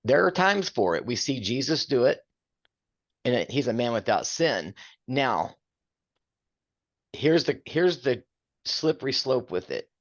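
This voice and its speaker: A man's voice: medium pitch, sing-song, a little slow, long pauses, dull audio. An older man with a big, carrying voice, co-hosting a casual chat and speaking emphatically.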